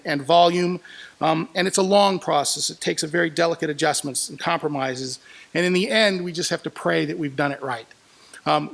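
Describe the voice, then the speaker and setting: A man's voice: fairly high pitch, gravelly, a bit bright. A man giving an informal explanatory presentation as a producer, often searching for his words.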